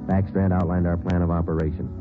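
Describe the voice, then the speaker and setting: A man with an American accent acting a terse, deadpan police detective in a 1940s radio drama, on a muffled old recording. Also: deep voice, quick pace, music in the background, lightly acted. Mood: deadpan, factual. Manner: clipped, matter-of-fact, deadpan. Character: terse, businesslike male police detective sergeant, narrating his case. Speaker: lead actor and narrator.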